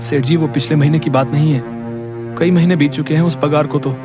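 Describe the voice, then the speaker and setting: Casting: village labourer for an animated folk tale played by a man, lighter male pitch, deferential but straight-talking. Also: brisk, music in the background, lightly acted. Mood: pleading, resigned. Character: plain-spoken village working men: vendor, servant, cart driver. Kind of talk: animated moral-story cartoon acting.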